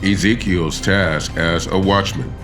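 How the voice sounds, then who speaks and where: A man narrating a dramatized scripture audiobook in a solemn, measured and grave manner. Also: deep voice, steady tone, music in the background.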